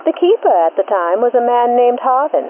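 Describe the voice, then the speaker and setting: A woman narrating a scripted mystery in 1940s radio style, measured and deliberate, through an old-time radio filter. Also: on the high side, very animated. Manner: measured, deliberate, short suspenseful phrases. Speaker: host and narrator of a mystery show. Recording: tinny band-limited vintage radio, static noise.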